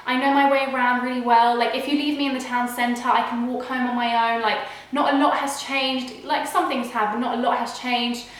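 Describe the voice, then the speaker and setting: A woman's voice: a little high, level tone, brisk, hardly any pauses. A young woman vlogging from home while ill, nasal and rambling, with lots of "like" and restarts. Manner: rambling and chatty, full of "like" and restarts. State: unwell with a cold. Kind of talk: casual chat to camera. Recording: very echoey room.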